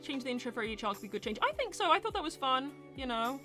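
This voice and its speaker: A woman's voice: high-pitched, sing-song, quick pace, sharp tone, faint music behind. A woman hosting a casual livestream Q&A, reading out and answering viewer messages in an informal, chatty way. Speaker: host of a fan series livestream Q&A.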